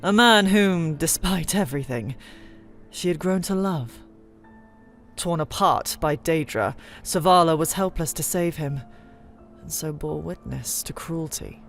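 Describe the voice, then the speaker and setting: A woman narrating fantasy lore as a scripted dramatic story, with solemn, theatrical and deliberate phrasing. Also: deep voice. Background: music bed.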